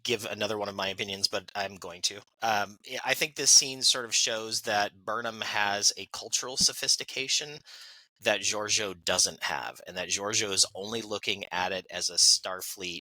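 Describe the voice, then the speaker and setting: A man's voice: fairly low pitch, fairly even intonation, normal speed, sharp tone, no room sound, poor audio. A man on a podcast panel sharing an opinion tentatively, hedging with "I think" and "I don't know". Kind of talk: casual chat.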